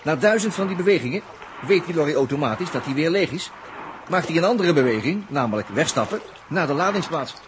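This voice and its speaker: An actor from an early sixties radio play voicing a cheeky, ingenious cyberneticist, chatty and jocular, with a Netherlands Dutch accent. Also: male voice, fairly high pitch, animated, fast, close to an everyday voice. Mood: gleeful, explaining. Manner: jocular, chuckling, colloquial, thinking aloud. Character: cheeky, ingenious male cyberneticist on a space crew. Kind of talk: 1960s Dutch science-fiction radio play acting. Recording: noisy early 1960s radio recording.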